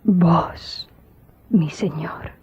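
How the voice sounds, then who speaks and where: An actress playing a grieving daughter who solemnly tells her tragic story in a radio drama, in a measured way. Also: fairly low pitch, a little mellow, slightly rough, in a whisper, dull audio, heavily acted. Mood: sorrowful.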